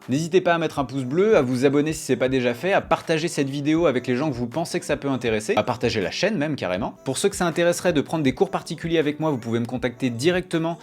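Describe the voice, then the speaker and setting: A man, presenting a casual music software tutorial on a close studio microphone in a home room. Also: medium pitch. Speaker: music production tutorial host. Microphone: studio microphone close in front.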